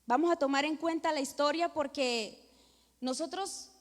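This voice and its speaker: A woman's voice: high voice, normal speed. A middle-aged woman with a Venezuelan accent, a pastor preaching and praying with emphatic, repeated devotional phrases. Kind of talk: church sermon and prayer. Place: church hall with long reverb. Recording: reverberant hall.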